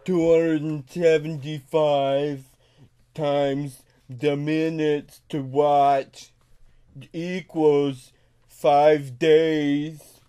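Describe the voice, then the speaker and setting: A man playing a childlike, confused, easily upset grown man on a prank call, halting and repeating words insistently. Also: fairly high pitch, flat delivery, mellow, clean tone. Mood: puzzled, insistent.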